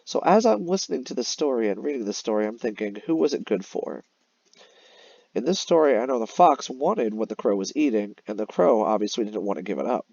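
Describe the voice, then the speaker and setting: Animated male teacher, dry muffled sound. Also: medium pitch, fairly quick, vocal fry, husky, no room sound. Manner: explanatory, addressing young children. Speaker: elementary teacher. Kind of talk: instructional lesson with story read-aloud. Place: voice-over on a screen-recorded slide lesson.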